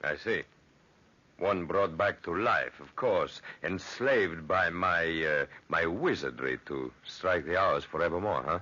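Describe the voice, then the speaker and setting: An actor in old-time radio drama playing a contemptuous genius inventor, grandiose and sarcastic. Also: male voice, deep voice, measured pace, bright, forceful tone, husky, heavily acted. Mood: mocking. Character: haughty, sardonic genius inventor, contemptuous of townspeople. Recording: dull, lo-fi old radio recording.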